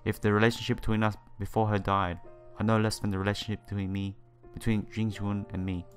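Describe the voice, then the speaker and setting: Low-voiced man reading a visual novel. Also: flat delivery. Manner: halting read-aloud, stumbling over words. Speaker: let's player. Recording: game music behind the voice. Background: visual novel background music.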